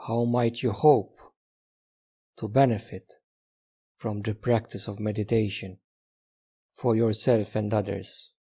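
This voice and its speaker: A man guides a meditation with gentle, unhurried instructions. His voice is husky and dark, with a gravelly creak at the edges. He leaves long pauses between short phrases. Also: fairly low pitch, level tone.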